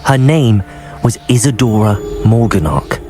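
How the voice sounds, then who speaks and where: Low-voiced, measured young wizard. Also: male voice, lively intonation, background noise. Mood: earnest revelation. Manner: measured, polite, thoughtful. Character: calm, sympathetic teenage wizard student hero. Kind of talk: video game dramatic acting.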